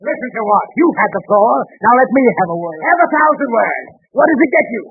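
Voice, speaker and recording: Raspy blustering hothead on old radio. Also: male voice, very high-pitched, big pitch swings, brisk, exaggerated voice. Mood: indignant, blustering. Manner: brusque, blustering, argumentative. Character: blustering, cantankerous boss and indignant shopkeeper. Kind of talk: 1940s radio comedy acting. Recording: tinny, band-limited 1940s radio recording.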